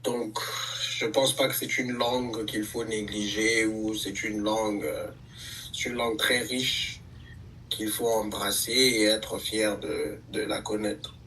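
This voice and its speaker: Un homme, artiste de hip-hop, qui répond calmement à une interview, avec beaucoup d'hésitations. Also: male voice, on the low side, distant mic, rough recording. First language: French. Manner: measured, many hesitations. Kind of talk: casual interview answers.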